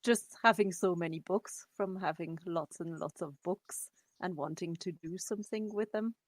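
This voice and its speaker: Woman in her forties, native French, French-Geordie accent, low pitch, conversational storytelling, interview guest. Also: normal speed, no echo. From France. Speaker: guest, primary school French teacher. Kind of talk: casual interview, personal storytelling.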